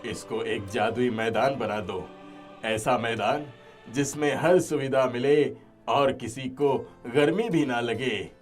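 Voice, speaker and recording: A man's voice: mid-range voice, slow pace, close to an everyday voice. A man playing a kindly but firm, aggrieved old village father in a moral-story cartoon. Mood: firm, earnest. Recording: music behind the voice.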